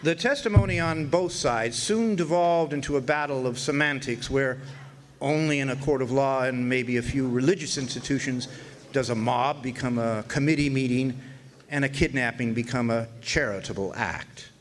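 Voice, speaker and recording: A man's voice: medium pitch, normal speed, slight background noise, natural voice. A man playing a wry, folksy narrator who recalls an old frontier court case in a radio drama, with hesitant storytelling. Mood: wry, reflective.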